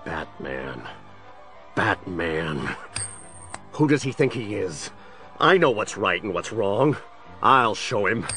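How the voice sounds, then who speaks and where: An actor playing a tormented district attorney cracking into a villain, brooding and theatrical, in a superhero audio drama. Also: male voice, lowish voice, big pitch swings, slow, long pauses, bright, forceful tone, faint music behind, exaggerated voice. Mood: brooding, unhinged. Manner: brooding, theatrical, dragging out words. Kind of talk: full-cast superhero audio drama acting.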